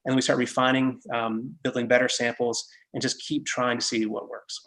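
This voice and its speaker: A man from Massachusetts talks on a video call in a gravelly, creaky voice. He rambles and restarts, leaning on fillers as he explains his design work. He has no regional accent. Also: medium pitch, level tone, moderate pace, fairly bright tone, low-quality recording. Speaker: head of product development. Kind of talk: casual video call chat.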